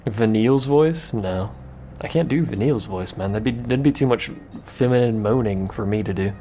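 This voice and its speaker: A man's voice: mid-range voice, normal speed, mellow. A man giving casual, rambling gameplay commentary with a joking, self-mocking manner. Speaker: let's play commentator.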